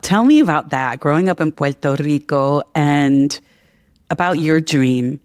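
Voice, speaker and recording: A man's voice: a little high, measured pace, smooth, no room sound, studio quality. A middle-aged man hosting a podcast, interviewing in a casual, conversational way.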